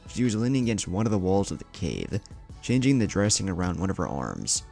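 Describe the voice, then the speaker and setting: Deep voiced guy narrating a fan fiction story, lots of rise and fall, crackly low tone. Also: big pitch swings, brisk, gravelly, darkish tone, soft background music.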